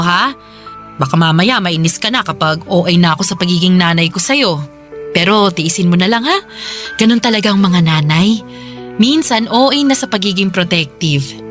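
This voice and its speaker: A woman playing a warm, protective motherly figure in a radio drama, affectionate and gently scolding. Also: mid-range voice, close to an everyday voice. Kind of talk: Tagalog radio drama dialogue.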